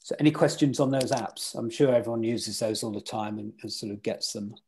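A man's voice: on the low side, brisk, a little mellow, slightly rough. A man teaching sailing weather in an online club lecture over a video call, quizzing his listeners as he goes. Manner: interactive, quizzes the audience.

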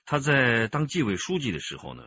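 An actor playing a commanding, measured police squad chief in a radio drama, with a husky voice. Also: male voice, medium pitch, huge pitch swings, sharp tone, muffled recording, exaggerated voice. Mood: grave, measured.

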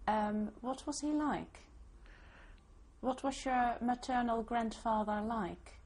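A woman interviewer asking questions in a measured, formal way and spelling out names. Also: fairly high pitch, fairly even intonation, a little mellow.

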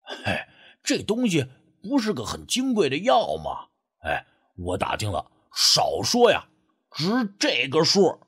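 A man's voice: a little high, wildly swooping pitch, slow pace, fairly bright tone, breathy edge, full character voice. A man playing a sly, sheepish rural man in an audiobook, stammering and wheedling as he angles for money. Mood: sly, eager. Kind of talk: acted audiobook dialogue.

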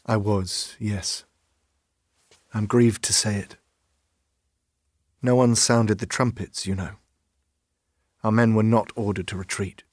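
An actor playing a loyal, grieving medieval soldier, recalling events in a sombre, measured way for an audio drama. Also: male voice, lowish voice, steady tone, dry recording, studio quality. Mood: sombre grief.

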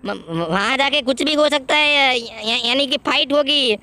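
A man's voice: measured pace, pushed and bright, smooth, heavily acted. A man voicing a timid, stammering young male sidekick who panics easily during gameplay commentary. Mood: scared. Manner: nervous, fearful, high-pitched.

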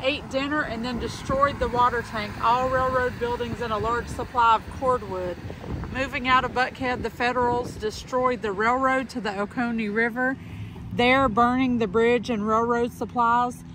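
A woman's voice: fairly high pitch, steady tone, normal speed. A woman co-hosting a travel vlog, talking outdoors in a small town. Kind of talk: casual chat. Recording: noisy outdoor recording, vehicle and ambient sounds.

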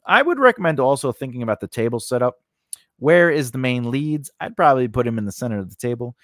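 A man with a medium-pitched voice walks through a lesson in a loose, conversational way. He checks in often with small "you know" and "all right" tags, like an instructor explaining practical steps. The sound is close and dry, with little room around it. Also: lively intonation, fast, no room sound. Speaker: screenwriting instructor. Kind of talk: informal instructional lesson, talking through an outline.

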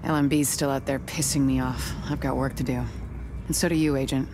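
A tough young American woman agent in a video game, determined and blunt. Her voice is low, with clear ambient noise around it. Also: steady tone, a little fast. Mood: brisk, confident. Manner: blunt, no-nonsense, swearing. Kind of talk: video game cutscene acting.

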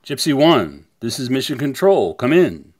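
A man's voice: mid-range voice, big pitch swings, moderate pace, a little mellow, dry recording, clean studio sound. A man playing an urgent, official mission control operator in a science-fiction table read. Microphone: remote audio chat app.

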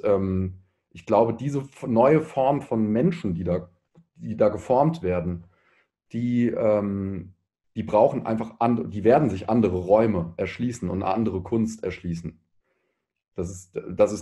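A man in his thirties in a panel discussion, thinking aloud haltingly and repeating words as he searches. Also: on the low side, dark tone. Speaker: panelist, artist.

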